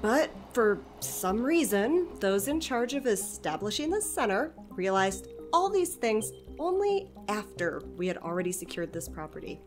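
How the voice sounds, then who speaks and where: A woman's voice: sing-song, a little slow, hardly any pauses, soft background music. A woman playing a chatty, enthusiastic community center director in an audio drama, talking expansively and persuasively. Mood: exasperated. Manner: expansive, persuasive, eager to pitch ideas.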